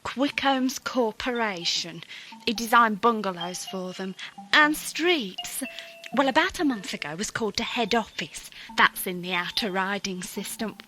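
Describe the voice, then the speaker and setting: A woman's voice: mid-range voice, expressive, a little slow, sharp tone, slight background noise, poor audio. A woman playing a fussy, dutiful suburban wife in an audio drama, prim, chatty and apologetic. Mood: gossipy.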